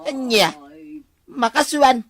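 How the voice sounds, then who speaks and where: A woman's voice: high-pitched, slow pace, over a noisy crowd. A woman playing an exasperated village official in an Ilocano comedy drama, firm and argumentative, with an Ilocano accent.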